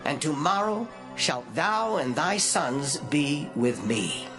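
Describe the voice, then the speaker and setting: A man playing the stern spirit of an old prophet in a scripture drama, pronouncing doom gravely and deliberately. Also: a little high, big pitch swings, heavily acted. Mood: stern, doom-laden. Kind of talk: dramatized scripture acting in archaic English. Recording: music under the voice.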